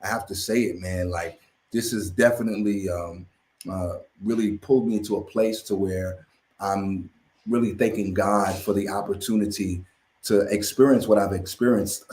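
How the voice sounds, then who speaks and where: A man with a low voice speaks slowly and gratefully, pausing often with fillers. The call sound is roomy and echoing. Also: a little mellow. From Florida. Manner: halting, grateful, many fillers. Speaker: guest musician and chaplain. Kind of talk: casual live stream chat.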